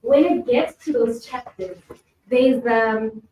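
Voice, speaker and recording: A woman's voice: fairly high pitch, takes it slowly, dark tone. A woman facilitating a panel discussion, speaking in an earnest, reflective and emphatic way. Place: event hall. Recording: echoey room, distant mic, faint hum.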